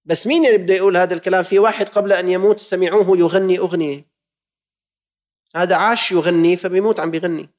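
A man's voice: high-pitched, steady tone, quick pace. A man with a Levantine Arabic accent preaching a sermon with fervent exhortation, firing rhetorical questions at his listeners and moving between formal classical phrasing and everyday dialect.

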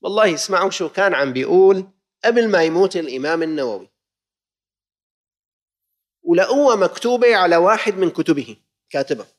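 A man's voice: high-pitched, animated, fairly quick, long pauses. Fervent preacher, man with a Levantine accent. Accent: Levantine Arabic. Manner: impassioned exhortation with rhetorical questions to the listeners; switches between formal classical phrasing and colloquial dialect. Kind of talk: sermon.

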